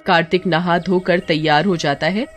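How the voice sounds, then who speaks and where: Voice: mid-range voice, steady tone, moderate pace, loud music behind the voice. A narrator telling a scary story in scripted, dramatic storybook narration.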